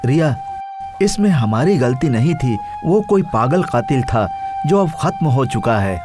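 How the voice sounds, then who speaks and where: A man's voice: medium pitch, very animated, a little slow, music in the background. An actor playing a worried, cautious young man who is protective of his friend in a horror story. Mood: concerned.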